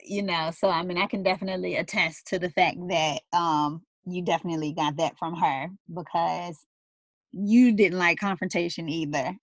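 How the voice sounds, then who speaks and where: A young woman in casual conversation with her mother, talking thoughtfully with many pauses and fillers. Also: on the low side, fairly even intonation, no echo. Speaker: daughter interviewing her mother.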